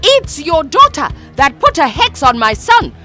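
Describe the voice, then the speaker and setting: A fierce, imperious older mother who scolds in a shrill, high, swooping voice, as a woman reader puts her on. She sounds proud and furious, with soft music faintly under her. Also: very animated, moderate pace. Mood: bitter.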